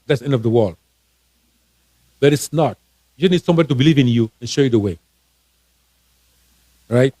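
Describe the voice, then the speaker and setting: A man's voice: on the high side, dark tone, no room sound, poor audio. A man from Cameroon, a financial coach and author, giving casual advice on a live stream, earnest and encouraging, often restarting his words.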